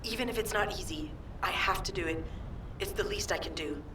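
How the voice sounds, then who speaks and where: A woman's voice: on the low side, brisk, background noise, lightly acted. A woman acting as a stubborn, self-sacrificing young fighter in a video game, serious and resolute. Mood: calm, resolute.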